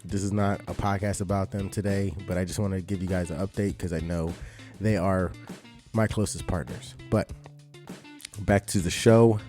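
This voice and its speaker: A man hosting a podcast, talking through the intro and announcements in an easygoing, conversational way, a little hoarse. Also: low-pitched, fairly even intonation, normal speed, dark tone, soft background music. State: slightly hoarse. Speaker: podcast host.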